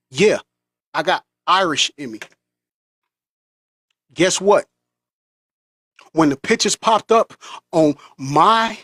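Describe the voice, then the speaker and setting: American guy on a fired-up preachy rant, kinda high voice, dry sound. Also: a bit bright, dry recording. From United States. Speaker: vlogger. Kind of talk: monologue.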